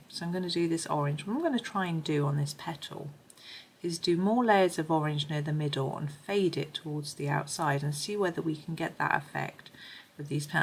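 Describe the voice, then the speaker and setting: A middle-aged woman with a slightly croaky voice, chatting casually and thinking aloud as she demonstrates something on camera. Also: low-pitched, slight background noise. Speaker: host of a colouring supplies review. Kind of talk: casual chat while demonstrating.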